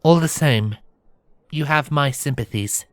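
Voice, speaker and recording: A man voicing a regal, gentle young pony princess with a soft, silvery voice and formal, courtly phrasing, in a fantasy story. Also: high-pitched, big pitch swings, moderate pace, no room sound, studio quality, pitched above the performer's own voice. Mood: solemn, sympathetic.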